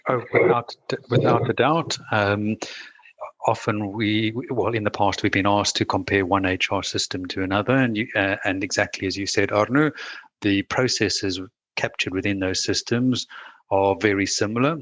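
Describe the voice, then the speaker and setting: A man's voice: on the low side, steady tone, moderate pace, fairly bright tone, no echo. A man with a South African accent, a guest talking things through casually, with plenty of "you know" and restarts. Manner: thoughtful, many fillers and restarts. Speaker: guest, managing director. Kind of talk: casual conversational interview.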